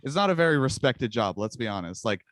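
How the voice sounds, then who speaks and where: A man's voice: mid-range voice, lively intonation, fast talker, a bit bright, no echo, clean studio sound. A young man whose first language is Czech, chatting casually as a guest musician, rambling and joking at his own expense. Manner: rambling, self-mocking, laughs often. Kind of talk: casual interview chat.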